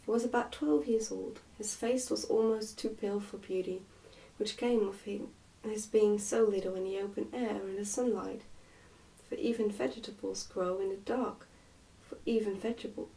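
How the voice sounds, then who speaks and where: A woman's voice: mid-range voice, fairly even intonation, normal speed, creaky, mellow, far from the mic, low-quality recording. A woman reading a storybook aloud as ASMR on her channel, recorded slightly away from the microphone. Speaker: reader, ASMR channel host.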